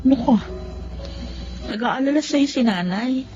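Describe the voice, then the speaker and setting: Muffled radio play, filipino big sister, no-nonsense, direct, caring, faint music under. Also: female voice, high-pitched, expressive, unhurried. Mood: scolding, encouraging. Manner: direct, admonishing. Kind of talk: radio drama acting.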